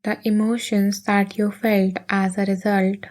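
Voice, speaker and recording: A woman leading a guided meditation in a soothing, gentle, unhurried manner with long pauses. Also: mid-range voice, little pitch movement.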